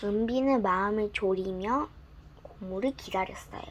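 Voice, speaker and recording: A woman narrating a bedtime storybook in a gentle, expressive reading style. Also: medium pitch, moderate pace, noisy background.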